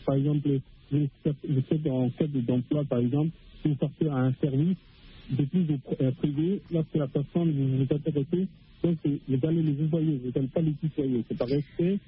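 A man from Africa calling in to a radio discussion, speaking haltingly with many restarts and fillers over a phone line. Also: fairly high pitch, steady tone, breathy edge. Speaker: radio caller. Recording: tinny phone line with faint noise.

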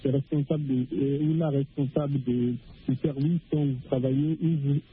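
A man's voice: mid-range voice, steady tone, soft background music. Man speaking over a tinny phone line. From Africa. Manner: halting, many restarts and fillers. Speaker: radio caller. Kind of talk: phone-in radio discussion.

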